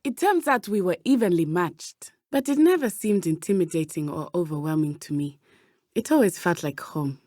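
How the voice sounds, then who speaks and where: Brave teen witch girl from a video game, lively pitch, careful formal wording, dry close sound. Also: no room sound. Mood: warm, earnest. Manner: precise, measured, formal diction. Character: earnest, brave teenage schoolgirl witch from Uganda. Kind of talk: video game character dialogue.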